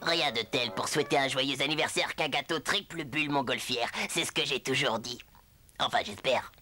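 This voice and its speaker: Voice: mid-range voice, moderate pace, sharp tone, soft background music, natural voice. A performer voicing a boastful, quarrelsome cartoon friend in French dub style, with an emphatic, pedantic manner. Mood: proud.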